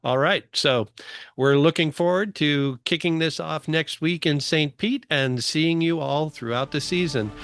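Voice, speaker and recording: A man's voice: fairly high pitch, measured pace, a bit bright, clear, resonant tone, no echo. Casual male podcast host, dry close sound.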